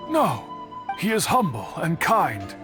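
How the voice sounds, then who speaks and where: An actor playing an earnest, loyal man with a formal, measured manner in a video game. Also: on the high side, wildly swooping pitch, music in the background, full character voice.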